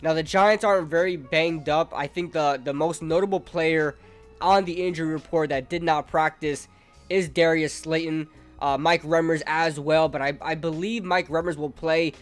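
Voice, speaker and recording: A young man with a fairly high voice talks through a football preview in a chatty, fan-like way, dotted with fillers. He sounds stuffy and nasal from a cold. Faint music plays underneath. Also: fairly even intonation, moderate pace. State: congested from a cold. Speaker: sports channel host. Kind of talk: casual football preview talk to camera.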